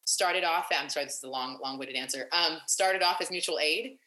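A young woman activist talking in an interview, animated and long-winded with many fillers and restarts. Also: deep voice, brisk, roomy sound, poor audio. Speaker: activist and nonprofit founder. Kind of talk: casual interview conversation.

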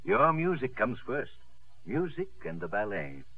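An actor playing an anxious, tender, proud father, halting and emphatic, in a 1950s radio drama. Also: medium pitch, wildly swooping pitch. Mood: troubled, firm. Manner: halting, repeats words emphatically. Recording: muffled vintage 1950s radio broadcast.

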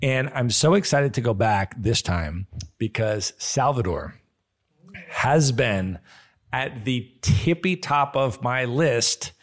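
A man's voice: medium pitch, lively intonation, slow. A man hosting a travel podcast, talking with eager, upbeat energy as he asks his guest questions. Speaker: podcast host. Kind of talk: casual podcast interview.

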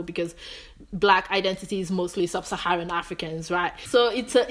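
Young woman from greece chatting as a guest, lively, lots of restarts. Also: mid-range voice. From Greece, of Nigerian heritage. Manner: animated, searching, with many restarts. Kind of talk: casual conversation.

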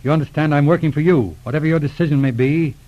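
An actor playing a brisk, earnest police inspector in an old radio drama, with an urgent, formal, clipped manner. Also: male voice, a little high, close to an everyday voice. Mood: grave, respectful. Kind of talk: 1940s radio drama acting. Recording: dull old radio recording.

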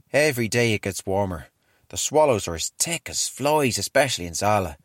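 Man as a deep-voiced wartime cipher clerk. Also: dry recording, studio quality, close to an everyday voice. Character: earnest wartime army cipher clerk reading his diary. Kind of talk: dramatic reading of a 1940s diary.

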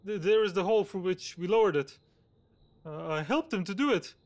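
A nervous, fretful gentleman in an audio drama, acted by a man, with a notably high voice. He stammers and pleads anxiously, frightened of being blamed. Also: fairly quick. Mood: panicked.

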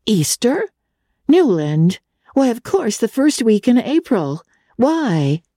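A woman voicing an anxious, excitable younger sister in a storybook reading, breathless, exclamatory and fussing. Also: on the high side, slow pace, no room sound, clean studio sound, pitched above the performer's own voice, heavily acted. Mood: shocked.

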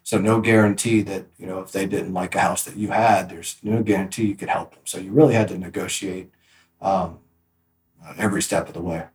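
A man's voice: sits low, fairly even intonation, fairly quick, a little mellow, reverberant. A man guest on a podcast, telling stories about his work in a casual, rambling way with many restarts. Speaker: podcast guest, real estate agent. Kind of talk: casual interview storytelling.

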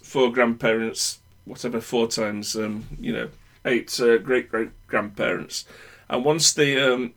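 A man with a husky, breathy edge to his voice chats on a podcast. He meanders through long anecdotes, pausing and restarting as he searches for details. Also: mid-range voice, fairly bright tone. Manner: meandering, self-correcting storyteller. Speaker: podcast guest. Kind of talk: casual chat, rambling anecdotes.

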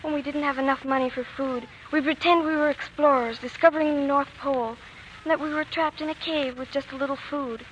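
A woman's voice: high-pitched, steady tone, fairly quick, fairly bright tone, highs cut off. An actress playing an earnest, wistful young girl devoted to her father in a 1940s radio drama. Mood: troubled, wistful. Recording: faintly noisy 1940s radio broadcast.

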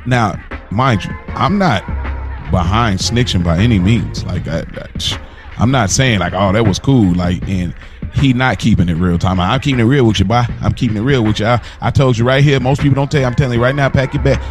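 An American man co-hosting a casual podcast, talking in an animated, slangy way and repeating phrases for emphasis. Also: mid-range voice, fairly quick. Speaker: podcast co-host. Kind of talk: casual hip-hop talk podcast. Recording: music playing underneath. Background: music.

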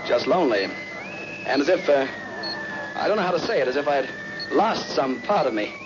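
An actor playing a lonely, dazed astronaut in an old-time radio drama, talking in a hesitant, searching, folksy way. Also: male voice, fairly high pitch, big pitch swings, fairly quick, over music. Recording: dull, noisy old radio recording.

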